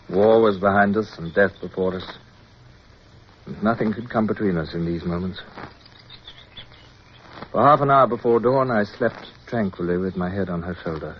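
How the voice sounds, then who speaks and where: Male, commanding naval officer played by an actor, lowish voice, formal and crisp, dull vintage radio sound. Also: natural voice. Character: resolute British naval officer, commanding yet tender, recounting his war. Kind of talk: radio drama acting and first-person narration. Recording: muffled, noisy old radio recording.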